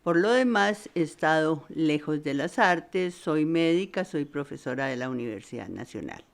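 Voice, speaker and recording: A woman's voice: deep voice, animated, normal speed, vocal fry. An older Colombian woman, a professor, giving a plain introduction of herself. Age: 60s or older. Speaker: doctor and professor, guest.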